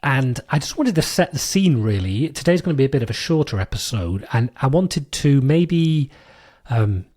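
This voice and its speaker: A man with a British accent hosting his own podcast, explaining a technical topic in a thoughtful, unscripted way with many fillers and restarts, his voice a bit hoarse from a cold. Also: medium pitch, a little mellow. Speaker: podcast host. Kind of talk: solo explanatory podcast monologue.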